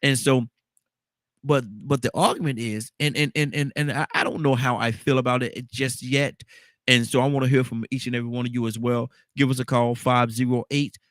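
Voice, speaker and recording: A man's voice: medium pitch, fairly even intonation, fairly quick, a bit bright, no room sound, clean studio sound. A man hosting a morning call-in radio show with a stuffed-up nose, talking in a rambling way and repeating the phone number over and over.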